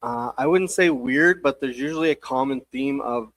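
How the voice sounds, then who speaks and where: A man speaking as a baseball coach, giving casual, measured interview answers with hesitant phrasing. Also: medium pitch, a bit bright, no echo.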